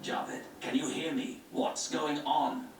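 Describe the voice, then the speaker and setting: A man's voice: on the high side, flat delivery, takes it slowly. Bossy grandmaster bad guy in a game, formal and impatient, roomy distant sound. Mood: commanding, impatient. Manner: commanding, formal. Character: imperious, impatient male grandmaster villain giving orders. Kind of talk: video game cutscene acting. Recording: distant echoey game audio with music.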